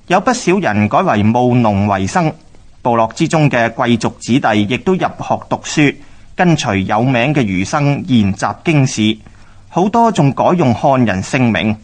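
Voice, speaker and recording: A man with a Hong Kong Cantonese accent narrating a scripted radio history drama in a measured, explanatory manner. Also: medium pitch, big pitch swings.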